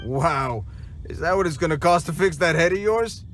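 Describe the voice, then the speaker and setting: An actor playing a gruff, cocky bandit leader in a video game cutscene, tough-talking and sneering with slangy speech. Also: male voice, fairly high pitch, lively intonation, normal speed, fairly bright tone, slight background noise. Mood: taunting.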